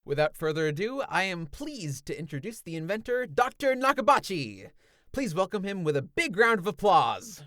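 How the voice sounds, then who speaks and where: A woman's voice: fairly low pitch, sing-song, moderate pace, fairly bright tone, no echo, clean studio sound. A woman playing a polite, formal press conference announcer in an anime visual novel fan dub. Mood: polite, upbeat.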